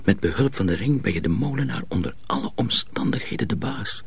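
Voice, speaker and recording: An actor playing an earnest, knowing mill hand in a radio drama, confiding and deliberate, with a raspy voice. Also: male voice, medium pitch, animated, heavily acted. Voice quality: raspy, gravelly.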